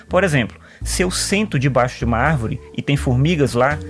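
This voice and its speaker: A man's voice: on the high side, natural voice. A man playing a talkative male philosopher and sociology teacher in an audio drama, earnest and fond of quoting thinkers, with faint music underneath. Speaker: patient.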